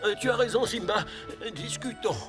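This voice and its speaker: A man's voice: sits high, moderate pace, close to an everyday voice. A man voicing a big, gluttonous, childlike cartoon bear with a comic, wheedling manner. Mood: smug. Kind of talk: French cartoon dubbing. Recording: loud music behind the voice.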